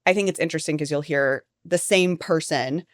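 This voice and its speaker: A woman's voice: deep voice, a little fast, dry recording, clean studio sound. A woman researcher talking as a podcast guest, explaining ideas in a chatty way with many fillers. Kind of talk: conversational podcast interview.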